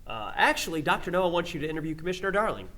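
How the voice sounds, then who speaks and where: A man's voice: on the high side, very animated, very fast, a bit bright. A man playing an uneasy, deferential lab assistant in a radio drama, hesitant and placating. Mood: dry, placating. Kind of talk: superhero comedy radio drama acting.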